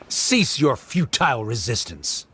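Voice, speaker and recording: An actor playing a haughty, stern scholar official in a video game, with a pompous and formal manner. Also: male voice, medium pitch, big pitch swings, slow, sharp tone, a little hiss or hum, exaggerated voice. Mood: menacing, smug.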